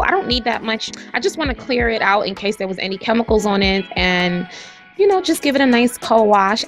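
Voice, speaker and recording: Woman vlogger, raspy, chatty, mid-range pitch, light music underneath. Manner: chatty, addresses viewers directly. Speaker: hair vlogger. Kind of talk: casual hair review and tutorial.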